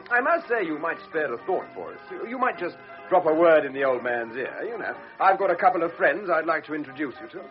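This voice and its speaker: An actor playing a sardonic army officer with an ironic, sarcastic manner. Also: male voice, a little high, sing-song, fast, hardly any pauses, faint music behind. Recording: dull old radio recording.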